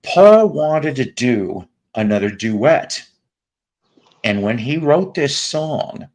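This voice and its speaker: A man with a raspy, hoarse voice hosting a music podcast, joking and rambling through tangents in casual chat. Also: mid-range voice, sing-song, slow, darkish tone. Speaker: podcast host.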